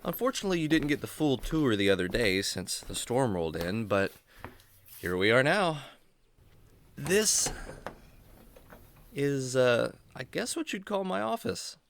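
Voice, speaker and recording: A man playing a kindly, patient adventurer who explains things gently and earnestly to his animal buddy in a children's radio drama. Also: medium pitch, sing-song, measured pace, gravelly, pauses often, slight background noise, exaggerated voice. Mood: friendly.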